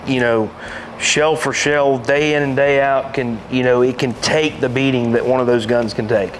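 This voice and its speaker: A man's voice: medium pitch, fairly even intonation, normal speed, a little hiss or hum, low-quality recording. A middle-aged man chatting as a podcast guest, giving brief, agreeable answers in a lodge room. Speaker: podcast guest, shooting champion. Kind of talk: casual chat. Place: lodge room with stone fireplace, seated in armchair.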